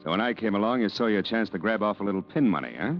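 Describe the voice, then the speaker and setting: A man's voice: lowish voice, lively intonation, racing, lightly acted. An actor playing a cynical schemer in a 1940s radio mystery, talking in dry, sarcastic, clipped tough-guy phrases. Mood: sardonic. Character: Norman, cynical, sardonic noir schemer. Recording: muffled old radio broadcast, organ music behind.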